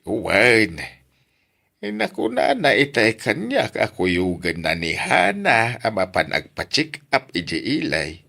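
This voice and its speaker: A man playing an older, hospitable, talkative village father with a husky voice and an Ilocano accent in an audio drama. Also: lowish voice, huge pitch swings, unhurried, sharp tone, exaggerated voice. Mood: chatty, hospitable.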